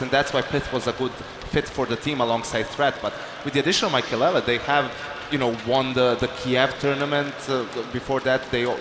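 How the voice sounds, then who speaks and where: A man offers esports analysis in a measured, slightly halting way, with fillers between his points. His voice rings in a roomy space. Steady noise fills the background. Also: mid-range voice. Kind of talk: analyst desk commentary.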